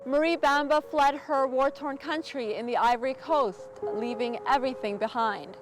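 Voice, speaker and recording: A woman's voice: sits high, moderate pace, over music. A woman host reading scripted documentary narration as a journalist.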